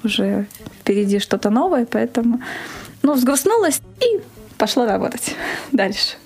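A woman's voice: a little high, big pitch swings, normal speed, a little mellow, slightly rough. A woman from Krasnoyarsk in Russia, speaking as a studio guest and telling her story conversationally in an interview. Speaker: studio guest, event organizer. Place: radio studio.

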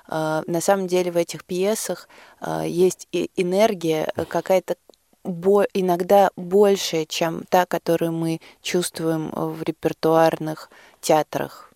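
Lowish, creaky-voiced woman explaining in interview. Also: little pitch movement, measured pace, warm tone, dry recording, clean studio sound. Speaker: studio guest, project organiser. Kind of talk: unscripted interview talk.

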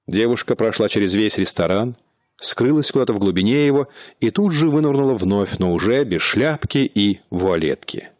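A man narrating a radio play in a measured, even storytelling manner. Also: on the low side, no room sound, studio quality.

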